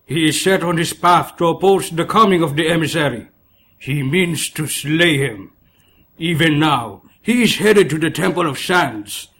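A man voicing a fierce, commanding ancient master in a story, grave and forceful. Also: fairly high pitch, lively intonation, measured pace, voice pushed up. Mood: grim, urgent.

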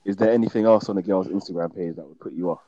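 A man's voice: sits low, racing, dark tone, highs cut off. A young man, teasing and asking blunt questions as a podcast host. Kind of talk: casual chat.

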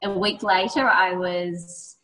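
Bright-voiced New Zealand woman, slightly off-mic. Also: lowish voice, steady tone, slow pace, far from the mic. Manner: chatty, with frequent ums and restarts. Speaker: guest, yoga teacher trainer. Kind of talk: casual conversational storytelling.

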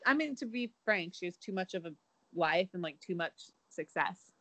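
A woman's voice: lowish voice, animated, normal speed, a bit bright, no room sound. A young woman co-hosting a casual gossip chat, wry and giggly, hedging with lots of likes and I means. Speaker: podcast co-host.

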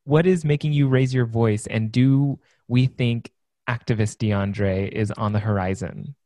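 A man's voice: medium pitch, steady tone, a little slow, warm tone, dry recording. A man hosting a podcast, asking his guest questions in an enthusiastic, admiring way. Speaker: podcast host. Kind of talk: casual interview chat.

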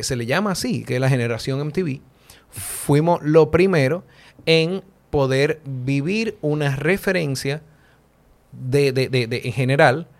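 A man from the Dominican Republic with a Dominican accent, a guest in a casual philosophical chat, thinking aloud with many fillers and asides. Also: medium pitch. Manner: talks things through with many fillers and "¿tú entiendes?" asides.

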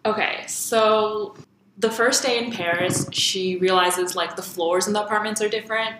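A young woman co-hosting a casual TV recap, chatty and quick to laugh. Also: mid-range voice, fairly even intonation, background noise. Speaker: co-host. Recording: roomy echo.

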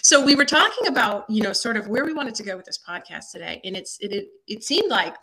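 A woman hosting a podcast, speaking in a gushing, effusive way with halting restarts. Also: medium pitch, big pitch swings, sharp tone, husky, low-quality recording. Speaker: podcast host. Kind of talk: casual interview chat.

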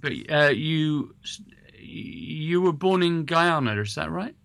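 A man interviewing a guest in a relaxed, rambling way, full of hesitations and restarts. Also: fairly high pitch, slow, vocal fry, no echo. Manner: rambling, hesitant, self-correcting. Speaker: interviewer and musician. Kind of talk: casual conversational interview.

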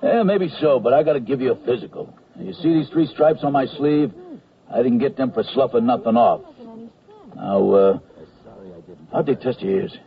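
An actor playing a stubborn, officious army medical sergeant with gruff, slangy talk in a radio comedy drama. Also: male voice, mid-range voice, normal speed, natural voice. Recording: dull, band-limited radio recording.

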